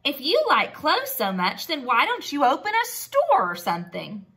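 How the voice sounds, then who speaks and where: A woman's voice: high voice, wildly swooping pitch, normal speed, background noise, exaggerated voice. A woman voicing sarcastic, disgusted naked mole rats from a storybook, exclaiming in a scornful, demanding way. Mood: disgusted, mocking.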